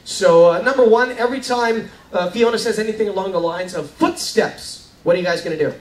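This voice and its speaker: A man's voice: sits high, fairly quick. A man hosting a live amateur show, informally prompting his audience with a hesitant delivery. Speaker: host introducing an amateur show. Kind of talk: informal stage introduction to audience. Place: live show on cruise ship deck. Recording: echoey, distant mic, faint noise.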